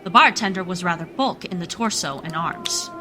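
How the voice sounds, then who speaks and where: A woman's voice: fairly low pitch, expressive, normal speed, pushed and bright, slight background noise. A woman narrator reading scripted storytelling narration for a radio play.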